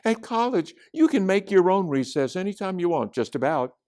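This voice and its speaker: A man's voice: high-pitched, big pitch swings, normal speed, a little mellow, voice pushed up. A man voicing a perky, friendly young female college student in a children's storybook reading. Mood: cheerful. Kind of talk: children's storybook read-aloud.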